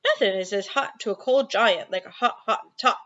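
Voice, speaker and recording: A woman's voice: medium pitch, fairly quick, highs cut off, background noise, lightly acted. A woman voicing a friendly, boastful storybook giant who talks in mangled grammar and invented comic words. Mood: knowing, gleeful.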